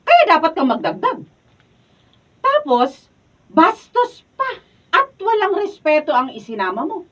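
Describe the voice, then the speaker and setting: A woman's voice: extremely high pitch, big pitch swings, measured pace, long pauses, a bit bright, exaggerated voice. An actress playing a hot-tempered, scolding village chairwoman and landlady in a Filipino radio drama, with an indignant, confrontational delivery. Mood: defiant, demanding. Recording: distant mic, dull lo-fi room recording.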